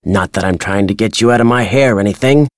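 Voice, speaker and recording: An older American man voicing a crotchety retired birdwatcher in a video game, folksy and gruff with a husky, dry delivery. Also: lowish voice, fast talker, no echo, natural voice. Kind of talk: video game character dialogue.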